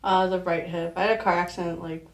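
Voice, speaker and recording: Young woman, lowish voice, echoey room. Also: flat delivery, quick pace. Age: young adult. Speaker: patient, travel nurse. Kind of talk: brief conversational answers in a consultation. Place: small clinic room with bare walls. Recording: echoey room, away from mic.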